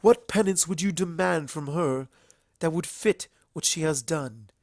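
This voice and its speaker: A man voicing a calm, sorrowful, regal pony princess in a storybook reading, soft and measured. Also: a little high, dry recording, studio quality, voice pushed up. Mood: firm, dignified. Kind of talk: dramatic fan fiction character reading.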